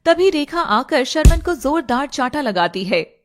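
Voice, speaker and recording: A woman's voice: high-pitched, big pitch swings, measured pace, soft background music. A woman narrating a scripted story in a dramatic storytelling style.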